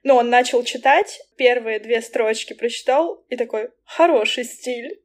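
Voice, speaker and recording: Young woman, fairly high voice, casual podcast guest, animated raconteur. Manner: chatty, animated storytelling with quick asides. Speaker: poet and language teacher.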